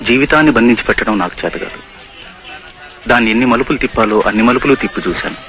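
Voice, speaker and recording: A man's voice: mid-range voice, expressive, rapid-fire, fairly bright tone, faint music behind. An actor playing a world-weary, disillusioned man philosophising to a friend in a film drama. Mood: weary, brooding.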